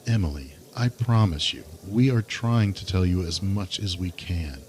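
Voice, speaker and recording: A man's voice: low voice, moderate pace, darkish tone, close to an everyday voice. A man playing a serious, reassuring keeper of secrets in an audio drama.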